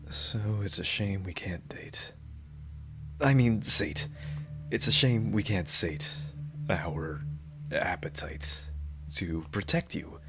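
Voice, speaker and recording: A man's voice: deep voice, background noise. A man playing a devoted, dorky yandere bodyguard in an ASMR roleplay, earnest and rambling, awkwardly correcting his own slips. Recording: loud music behind the voice. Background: music.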